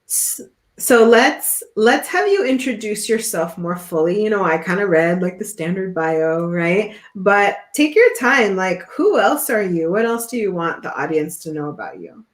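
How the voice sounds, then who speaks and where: A woman's voice: medium pitch, big pitch swings, normal speed, echoey room. A woman hosting an online show who talks to her guest and audience in an upbeat, reassuring way. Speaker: host. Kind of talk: casual interview chat.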